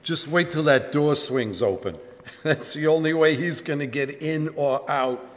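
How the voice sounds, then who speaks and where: A man's voice: on the high side, a little slow, lightly acted. An actor playing a swaggering, slangy gangster gunman in a live radio crime drama. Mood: gruff, bossy. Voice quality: slangy tough-guy speech. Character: bossy, swaggering gangster gunman, a street tough. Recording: live stage in echoey hall.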